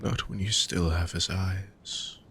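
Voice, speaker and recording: Man doing a weary ancient dark mage, low voice, very slow and measured, slipping into whispers, faint hiss behind. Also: steady tone. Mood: soothing, hushed. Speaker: audio roleplay performer. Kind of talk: fantasy roleplay audio drama.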